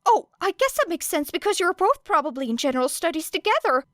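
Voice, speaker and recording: Woman voicing a high, rambling anxious teen boy. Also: big pitch swings, fairly bright tone, dry recording, studio quality, exaggerated voice. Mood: flustered. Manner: rambling, fast anxious run-on talk. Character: nervous rambling teenage boy hero student. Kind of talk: audiobook character reading.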